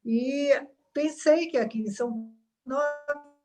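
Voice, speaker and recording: Lady talking on a video call, kinda high voice, thoughtful and earnest. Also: over music. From Salvador, Bahia, Brazil. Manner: earnest, reflective, with filler pauses. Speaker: dermatologist.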